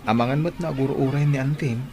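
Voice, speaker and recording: A man's voice: medium pitch, fairly quick, over music, a crowd in the background, lightly acted. A man playing an earnest, attentive young adult son and suitor in an audio drama. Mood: reassuring.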